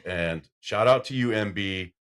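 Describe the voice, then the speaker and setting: This is a middle-aged man with a deep, booming low voice that fills the room. He hosts a casual podcast, rambling through stories and acting out what people said, and his volume climbs whenever he gets excited. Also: a little slow. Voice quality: deep voice that carries. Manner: loud when excited, storytelling with acted-out quotes. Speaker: podcast host.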